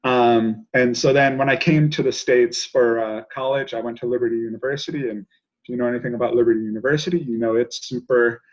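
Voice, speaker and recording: A man's voice: mid-range voice, steady tone, fast, highs cut off. A man in his twenties from South Africa, talking casually about himself as a podcast guest on a video call. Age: 20s. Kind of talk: casual personal talk. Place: indoor room at home, video call.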